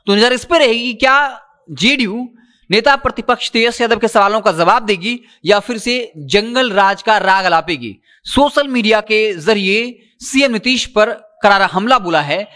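A man's voice: high voice, animated, normal speed, bright, forceful tone. A man, presenting news commentary into a handheld mic with emphatic, insistent delivery. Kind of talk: news commentary, reporting to camera. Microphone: handheld microphone.

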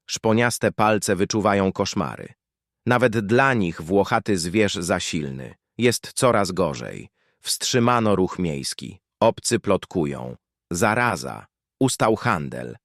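A man reading a scripted dark horror tale as narrator, in clipped, deliberate, poetic phrases. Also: lowish voice, dry recording, studio quality.

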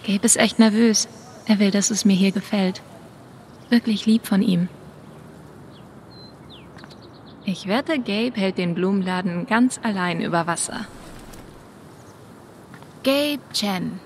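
A woman's voice: mid-range voice, measured pace, long pauses, darkish tone, a little hiss or hum, close to an everyday voice. A woman playing a wry, friendly young woman in a video game, with a dry, casual way of talking. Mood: reflective. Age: young adult. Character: wry, friendly young woman, long separated from her brother. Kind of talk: naturalistic video game dialogue and inner monologue.

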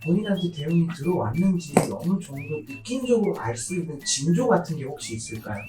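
Brisk, lively interviewer in a roomy space. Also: medium pitch. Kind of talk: polite interview questions. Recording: roomy, distant mic with music.

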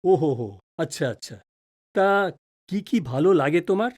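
A woman plays an old-fashioned middle-aged father in a radio play. The voice is low and mellow. It swings freely up and down in a teasing, mock-pompous way. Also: expressive, moderate pace. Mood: friendly, chatty. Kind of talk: audio drama acting.

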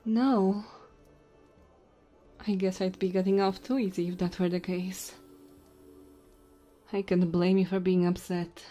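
Woman playing a dark-voiced, flirty goblin innkeeper. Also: lowish voice, steady tone, soft background music, lightly acted. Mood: apologetic, sheepish. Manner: soft, teasing, hesitant pauses. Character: flirty tipsy female goblin innkeeper, coyly bold. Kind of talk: intimate ASMR roleplay.

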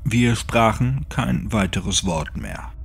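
A man narrating a horror audiobook in a grave, measured, dramatic scripted style. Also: lowish voice, animated, creaky, slightly rough. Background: music.

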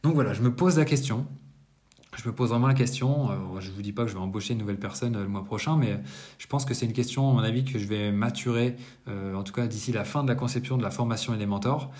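A man's voice: medium pitch, fast, darkish tone. Hesitant man chatting to camera. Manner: conversational, many hesitations and self-corrections. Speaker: business owner giving a monthly debrief. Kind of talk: casual monthly business vlog to camera. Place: indoors, bright apartment room.

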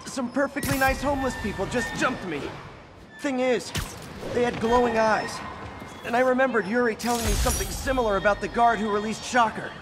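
An actor playing an eager, wisecracking young American superhero scientist in a video game, chatty and a little hesitant. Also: male voice, very high voice, brisk, music in the background. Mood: chatty, curious. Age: young adult. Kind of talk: naturalistic video game voice acting. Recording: game audio with clear background noise.

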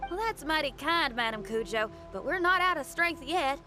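Plucky musician: high, lively voice, folksy drawl, warm-hearted game heroine. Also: female voice, normal speed, soft background music. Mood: upbeat, determined. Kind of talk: video game voice acting.

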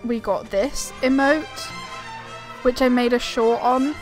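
A young woman with a fairly high voice chats casually through a game playthrough. She rambles informally in a giggly way, with game music playing behind her. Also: slow. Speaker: gaming video host.

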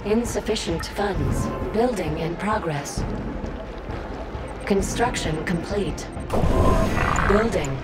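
A woman voicing a cold alien AI announcer in a strategy game, giving terse, measured, commanding status reports. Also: medium pitch, animated. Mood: impersonal, commanding. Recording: loud game music over voice.

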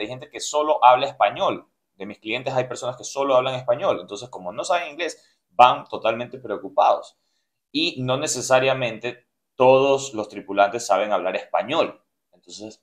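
A man in his thirties hosting a cruise news show, reading headlines and then commenting earnestly with many fillers and restarts, in a small indoor room. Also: mid-range voice. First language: Spanish. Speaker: cruise news host and travel agent. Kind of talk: news roundup with personal commentary. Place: small indoor room, plain beige wall.

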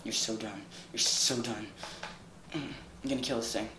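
A woman hosting casual gameplay commentary, excitable, with squealing exclamations. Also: very deep voice, normal speed. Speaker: gaming video host. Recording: echoey room with faint noise.

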